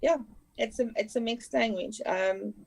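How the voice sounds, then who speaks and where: A South African woman whose first language is Afrikaans speaks in a slightly high voice. She chats casually as a guest answering podcast questions. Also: measured pace, creaky. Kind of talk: casual interview chat.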